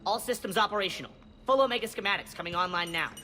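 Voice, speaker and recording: An eager alien technician in a video game. His voice is high and sharp, with clipped, businesslike delivery. It rings with long reverb. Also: racing. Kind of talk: video game cutscene acting. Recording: reverberant, faint noise behind.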